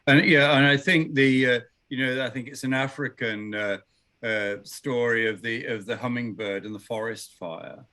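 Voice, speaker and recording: A man's voice: medium pitch, steady tone, moderate pace, sharp tone. A man presenting, telling a story in a discussion after a talk, rambling and hesitant, with many fillers.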